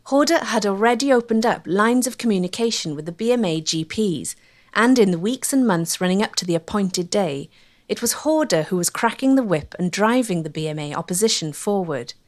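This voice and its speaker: Woman narrator for a documentary, normal pitch, reading a script. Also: lively intonation, brisk.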